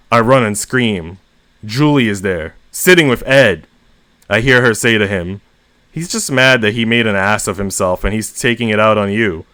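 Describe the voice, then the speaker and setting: A man reading first-person short stories aloud as host, with expressive emphasis on the narrator's exasperation. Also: on the low side, dry recording. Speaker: story reader and host.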